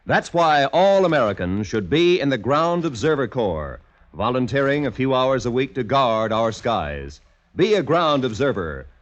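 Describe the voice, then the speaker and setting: A man's voice: medium pitch, sing-song, moderate pace. A man working as a 1950s radio announcer, reading a scripted public service message. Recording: dull vintage radio recording.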